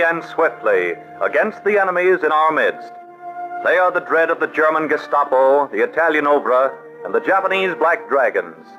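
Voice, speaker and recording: A man narrating an old radio drama in a dramatic, measured announcer's manner. His mid-range voice comes through a dull, lo-fi recording with music playing clearly behind him. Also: a little high.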